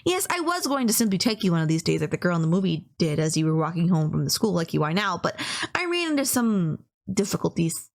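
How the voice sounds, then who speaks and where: A woman's voice: fairly low pitch, sing-song, fast talker, creaky. A woman playing a sweet, polite young yandere who is lovestruck and bashful, in a romantic audio roleplay, earnestly rambling to the listener with small stammers.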